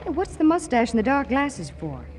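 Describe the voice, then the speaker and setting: A woman's voice: high-pitched, sing-song, normal speed, a little mellow. An actress playing a spirited young working woman with a sardonic, bantering manner in a 1940s radio comedy. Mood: bright, bantering. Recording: noisy, hissy vintage 1940s radio broadcast.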